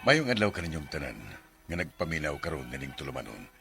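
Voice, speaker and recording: A seventy-year-old male farmer with a Visayan accent in a radio drama. He is folksy, playful and stubborn. His very sharp, forceful voice swings widely in pitch, with light music behind it. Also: very animated, quick pace, creaky, exaggerated voice. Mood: plaintive narration. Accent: Visayan Philippine. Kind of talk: radio drama acting with first-person narration.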